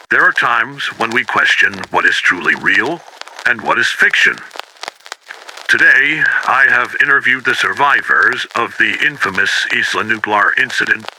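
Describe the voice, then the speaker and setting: A man playing a grave investigator who records a deliberate, official case report as a 1990s horror audio log. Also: fairly low pitch, sharp tone, full character voice. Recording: noisy, harsh-edged radio-like tape recording.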